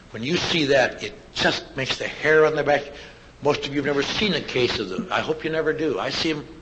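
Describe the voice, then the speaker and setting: A man's voice: medium pitch, a bit bright. An older man giving a plainspoken personal testimony as a speaker, telling anecdotes with wry asides. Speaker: speaker at a recovery convention. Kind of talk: personal testimony speech to an audience. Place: live convention talk. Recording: dull, lo-fi live recording, faint noise.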